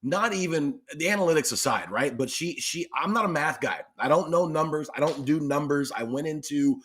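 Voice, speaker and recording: A man's voice: on the high side, a little fast, a bit bright, breathy edge. A man speaking as a podcast guest, a sports announcer chatting casually and emphatically, often repeating phrases for stress. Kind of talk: casual interview chat.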